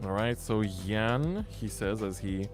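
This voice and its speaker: A man's voice: fairly low pitch, lively intonation, slow pace, over music. A man co-hosting a live esports bracket draw, commentating and musing aloud with small hesitations. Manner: thinking aloud, hesitant fillers. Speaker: esports caster.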